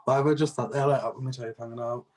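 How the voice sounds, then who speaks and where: A man's voice: mid-range voice, steady tone, rapid-fire. A man in his late twenties co-hosting a casual chat with joking, teasing banter. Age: late 20s. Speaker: co-host.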